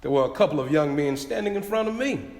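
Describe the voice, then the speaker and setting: A man playing a young former slave giving eyewitness testimony in an animated, earnest dramatic reading. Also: on the high side, fast, natural voice. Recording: echoey hall with long reverb.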